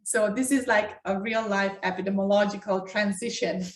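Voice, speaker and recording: Woman, medium pitch, health talk co-host with emphatic delivery, recorded in a roomy space away from the mic. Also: steady tone, moderate pace. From Ukraine. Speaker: doctor co-hosting a health talk. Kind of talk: conversational health talk to an online audience. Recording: echoey room, distant mic.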